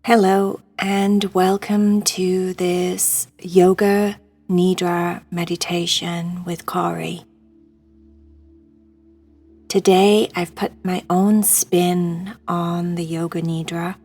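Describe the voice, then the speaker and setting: A woman guiding a sleep meditation in a hushed, soothing, breathy voice that often nears a whisper. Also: lowish voice, flat delivery, slow, soft background music. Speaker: meditation guide.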